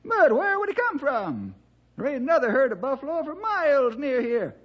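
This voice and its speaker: Folksy old cowboy sidekick, rural Western American, very high swooping voice, vintage radio drama. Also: male voice, huge pitch swings, moderate pace, exaggerated voice. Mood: puzzled. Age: older. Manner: folksy, drawling dialect speech. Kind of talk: 1960s radio drama acting. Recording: dull vintage radio recording.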